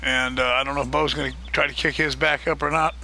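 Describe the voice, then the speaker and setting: A man hosting a live stream of a multiplayer farming game, chatting casually and greeting viewers by name, his voice getting a little hoarse. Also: medium pitch, level tone, fast talker, sharp tone, a little hiss or hum. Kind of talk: casual live-stream chat while gaming.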